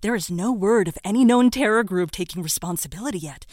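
A woman's voice: mid-range voice, quick pace, a little mellow, no room sound, lightly acted. A woman playing a formal, urgent radio newsreader delivering a scripted bulletin in an audio drama.

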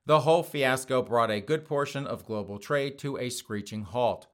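Hoarse male host, sarcastic, laughs through his lines, mid-pitched voice, casual mocking commentary. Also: normal speed. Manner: sarcastic, laughs often between phrases. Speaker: podcast host.

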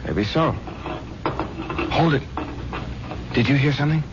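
An actor playing an earnest, uneasy police subordinate who speaks with urgency in a radio drama. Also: male voice, a little slow, lightly acted. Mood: alert, tense. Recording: muffled, rumbling noisy old radio recording.

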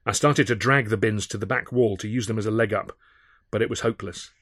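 Low-voiced man narrating a story. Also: fairly even intonation, brisk, a bit bright, no echo, clean studio sound. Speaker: author narrating own audiobook. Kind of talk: scripted first-person comic adventure narration.

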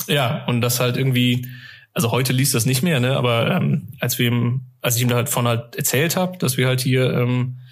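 Male podcast guest, mid-pitched voice, loose colloquial storytelling with an amused streak. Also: steady tone, moderate pace. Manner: colloquial, rambling, often amused. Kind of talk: casual interview chat, telling personal anecdotes.

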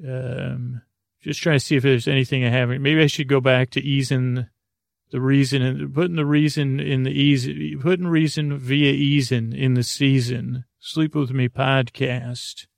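Male sleep-podcast host, gravelly and monotone, hesitant meandering delivery, dry close mic. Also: mid-range voice, no echo. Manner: meandering, hesitant, deliberately soothing. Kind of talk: rambling bedtime monologue.

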